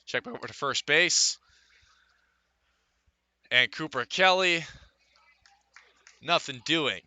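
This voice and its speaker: A male sports announcer gives live radio play-by-play of a baseball game. His voice sits a little high and has a very sharp, forceful edge. He paces out his calls in measured phrases, leaving pauses between plays. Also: dry recording. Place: live at a ballpark.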